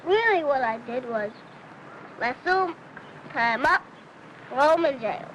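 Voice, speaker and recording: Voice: high voice, animated, measured pace, fairly bright tone. A young performer playing an eager, boastful little boy in an old film, bossily pretending to be a cowboy. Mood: cheeky, boastful. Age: child. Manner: bossy play-acting, boastful. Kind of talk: 1950s film acting. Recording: noisy old film soundtrack.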